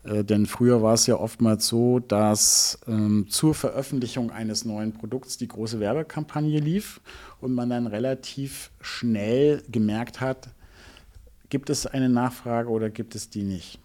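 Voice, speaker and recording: A middle-aged man with a soft-edged, mellow voice of average pitch speaks as an executive being interviewed. He explains things deliberately and a little formally, often halting to find the next phrase. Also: steady tone. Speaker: interview guest, music label executive.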